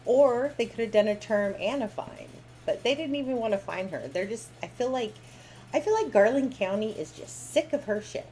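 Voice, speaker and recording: A woman's voice: on the high side, animated, normal speed, warm tone, a little hiss or hum, rough recording. A woman co-hosting a live stream, giving blunt, opinionated commentary in a casual way. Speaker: co-host.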